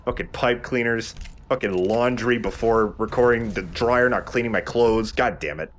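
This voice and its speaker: A man's voice: mid-range voice, moderate pace, slight background noise. A man hosting a let's play with chatty, casually sweary gaming commentary.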